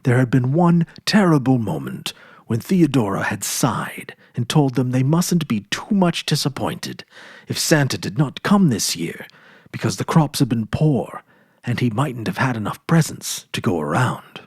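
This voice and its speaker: A man's voice: medium pitch, big pitch swings, measured pace, breathy edge, dry recording, studio quality. A man narrating a story as a dramatic audiobook reading.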